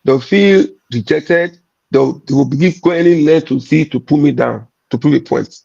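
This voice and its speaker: Gravelly Nigerian man, emphatic storyteller. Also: fairly high pitch, normal speed, a little mellow, lo-fi sound. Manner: emphatic, repetitive, mixes in Nigerian pidgin. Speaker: comedian. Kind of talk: candid conversational chat.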